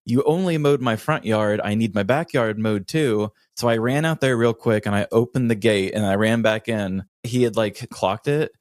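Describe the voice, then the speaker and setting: An American man with a fairly low voice tells stories casually as a podcast co-host. He complains in a comic, self-deprecating and dramatic way. The sound is close and dry, with little room around it. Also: moderate pace, few pauses, no echo. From United States. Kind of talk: casual comedic storytelling.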